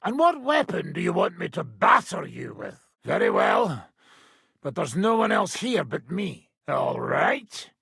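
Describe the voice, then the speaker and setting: An actor playing a brash, hard-drinking medieval fighter and combat trainer in a video game, gruff and taunting, with a raspy, gravelly voice. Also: male voice, sits high, sing-song, unhurried, pushed and bright, dry recording, clean studio sound, exaggerated voice.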